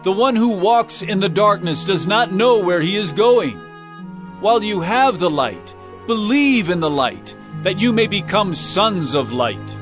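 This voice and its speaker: Earnest bible savior, solemn n emphatic, higher voice, music behind him. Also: male voice, lively intonation, unhurried. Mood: urging. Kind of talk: dramatized audio Bible acting.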